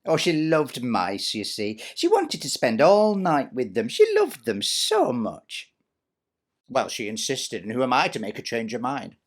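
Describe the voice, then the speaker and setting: A man's voice: mid-range voice, wildly swooping pitch, a little slow. A man voicing a vain, bossy, spiteful stepsister in a chatty, self-satisfied comic pantomime monologue. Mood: smug, gossipy.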